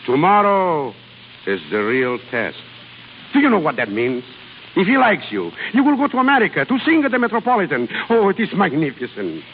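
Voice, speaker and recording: An excitable, high-pitched opera maestro. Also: male voice, a little fast, full character voice. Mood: excited, urging. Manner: theatrical, exclamatory, swinging from hushed to emphatic. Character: excitable fatherly opera maestro, mentor to a soprano. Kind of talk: 1930s radio acting. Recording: muffled noisy 1930s radio broadcast, long reverb.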